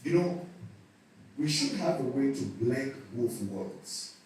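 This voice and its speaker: Ghanaian accent, man, youth church talk, repeats words as he thinks, mellow tone, faint hiss. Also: medium pitch, expressive, slow pace. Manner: repeats words while searching for them, then speeds up. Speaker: speaker at a church youth session. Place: church hall. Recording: echoey church hall, mic at a distance.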